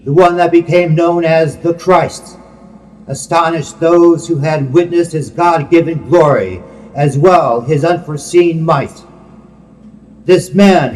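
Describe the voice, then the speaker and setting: A man giving a solemn, reverent religious poetry reading in a measured, declamatory manner. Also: a little high, darkish tone. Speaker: poet reading own work. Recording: long hall-like reverb.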